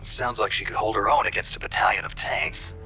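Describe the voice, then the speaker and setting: A gruff, deadpan commando for a video game. The voice is low, gravelly and hard-edged, the lines terse and cool-headed, over faint background noise. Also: male voice, a little fast, soft background music, heavily acted, poor audio. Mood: dry, wry. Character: gruff, cool-headed male special forces soldier. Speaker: field operative.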